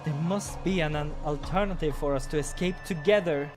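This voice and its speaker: A man's voice: fairly high pitch, moderate pace, pitched above the performer's own voice, natural voice. A man voicing an earnest, anxious young male adventurer in a visual novel, with dramatic, exclamatory delivery over music. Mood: anxious, determined. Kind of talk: visual novel character acting. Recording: music behind the voice.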